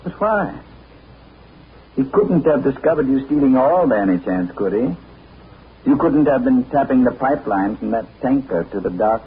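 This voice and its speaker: A man playing a suave gentleman adventurer with dry understatement, in a 1940s radio drama. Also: medium pitch, animated, moderate pace, close to an everyday voice. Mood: wry. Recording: tinny, noisy 1940s radio broadcast.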